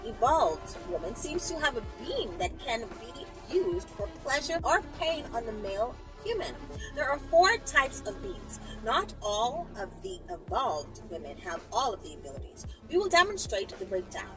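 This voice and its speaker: Female storyteller, high-pitched and very animated, teasing and theatrical, recorded away from the mic with music. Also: recorded from a distance, low-quality recording. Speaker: storyteller, channel host. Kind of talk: dramatic story reading with playful asides.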